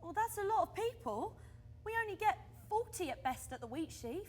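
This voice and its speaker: Shy young 1920s singer in a radio play, really high little voice, polite and unsure. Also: female voice, sing-song, fairly quick. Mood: wondering. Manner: tentative, polite. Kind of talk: period radio drama acting.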